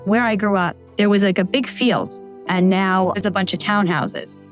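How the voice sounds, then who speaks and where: A woman talks in a casual, candid way. Her pitch is ordinary, and soft music plays underneath. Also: moderate pace. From Staten Island, New York. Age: 40s. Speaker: local resident interviewee. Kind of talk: documentary interview.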